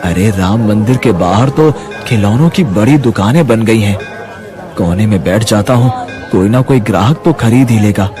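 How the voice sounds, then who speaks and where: A man's voice: fairly low pitch, normal speed, music in the background, natural voice. A man voicing a worried poor toy seller. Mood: gentle. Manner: anxious, pleading. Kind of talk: cartoon voice acting.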